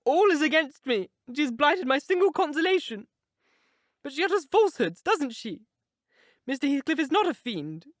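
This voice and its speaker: A lovesick young woman pleads and protests, as a man reading aloud puts her on. The voice sits high, its pitch leaping up and down with feeling. The sound is dry and close. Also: very animated, moderate pace, no echo. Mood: tearful. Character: infatuated young woman, passionate and tearful.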